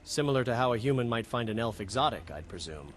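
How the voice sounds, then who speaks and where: A man playing an earnest wood elf companion in a fantasy game, speaking in a measured, reflective way. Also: mid-range voice, animated, a little hiss or hum, close to an everyday voice. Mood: earnest, wistful. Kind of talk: scripted game companion dialogue. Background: game music behind the voice.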